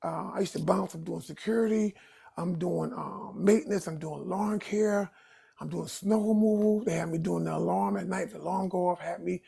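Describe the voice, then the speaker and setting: Raspy-voiced man in his sixties from Harlem. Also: high voice, mellow. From Harlem, New York. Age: 60s. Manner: rambling, earnest, frequent restarts and "you know". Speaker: caller on a live stream. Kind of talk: personal story told on a call-in stream.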